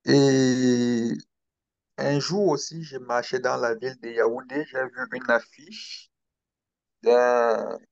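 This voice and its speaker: A young man from Cameroon, speaking slowly and hesitantly as an interview guest about his own story. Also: mid-range voice, steady tone, creaky, no room sound, muffled recording. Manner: slow, hesitant, with pauses and restarts. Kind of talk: interview, personal account.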